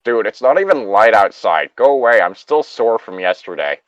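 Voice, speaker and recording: A man's voice: lowish voice, big pitch swings, moderate pace, no room sound, highs cut off. A man voicing a sulky, stubborn male camper with a petulant, grumbling manner in a story reading. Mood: grumpy, petulant.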